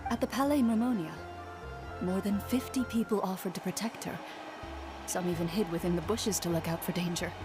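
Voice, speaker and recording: A woman's voice: medium pitch, brisk, over music, natural voice. A woman playing a polite, composed, considerate female official in a video game.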